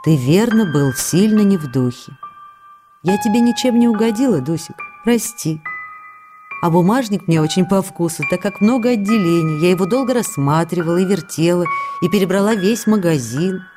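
A woman's voice: fairly low pitch, expressive, normal speed, over music, lightly acted. An actress playing a devoted, eager wife reading her intimate, coaxing letters to her husband in a radio drama. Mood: apologetic, coaxing.

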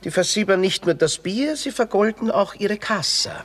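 Sardonic, florid young Austrian drifter. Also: male voice, high voice, expressive, normal speed, fairly bright tone. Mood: mock-learned, bitter. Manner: florid, pompous wordplay with dry asides. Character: witty, bitter red-haired young drifter, grandiloquent and sardonic. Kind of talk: classic Viennese stage comedy radio acting.

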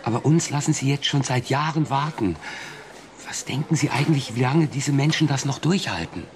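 An actor playing a desperate farmer in a German radio drama, speaking in an insistent, challenging manner. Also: male voice, medium pitch, lively intonation, moderate pace, slight background noise, lightly acted. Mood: reproachful. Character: desperate, insistent male farmer pleading for his land.

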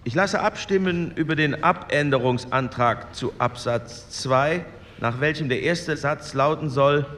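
A man's voice: fairly high pitch, a little slow, a bit bright, big-room sound, a little hiss or hum. An actor playing a 1940s committee chairman who calls votes in a formal, procedural way. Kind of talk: formal parliamentary proceedings, radio play.

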